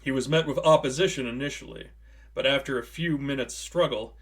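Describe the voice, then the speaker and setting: A man narrates prose fiction in a scripted audiobook manner. His voice sits at a middle pitch for a man, delivering the story as written narration. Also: a little fast, breathy edge.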